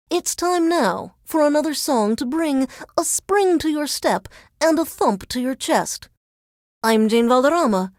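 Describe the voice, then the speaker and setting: A woman's voice: on the high side, darkish tone, dry recording, clean studio sound. An actress playing a resentful female news reporter forced to work as a radio DJ in a video game, with a formal, dramatic, sardonic newscaster delivery. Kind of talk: scripted radio DJ links in newsreader delivery.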